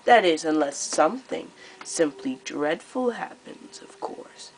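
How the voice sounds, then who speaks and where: A woman's voice: low voice, expressive, measured pace, slight background noise. Young woman as a gloating, theatrical dragon queen. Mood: gleeful, mocking. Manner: exclamatory, gloating, stretched-out words. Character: cruel, theatrical dragon queen, gleefully menacing.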